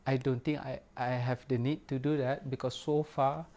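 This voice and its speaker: A man whose first language is Malay, giving a casual, unhurried product review in English with many pauses and fillers. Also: medium pitch, level tone, dark tone. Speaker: record store owner reviewing gear.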